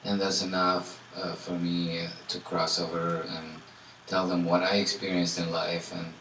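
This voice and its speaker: A man from Tunisia, a guest musician answering audience questions in a relaxed way, full of "you know" and fillers. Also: deep voice, normal speed. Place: indoor salon event. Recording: echoey room from far off, faint noise.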